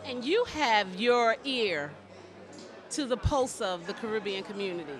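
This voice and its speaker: A man's voice: sits high, lively intonation, a little slow. A man interviewing guests in a chatty and playful way at an event. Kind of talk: casual interview. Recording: crowd noise behind.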